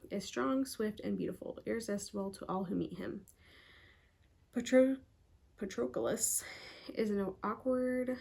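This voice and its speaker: A woman's voice: medium pitch, gravelly, darkish tone, slightly rough. A woman book vlogger talking casually and reading book blurbs aloud, a little congested in parts. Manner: chatty, upbeat, filler-heavy.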